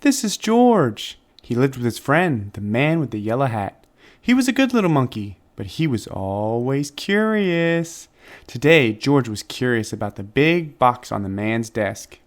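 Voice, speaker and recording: A man reading a children's story aloud as narrator, phrasing it deliberately and expressively for young listeners. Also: mid-range voice, big pitch swings. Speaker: storybook reader.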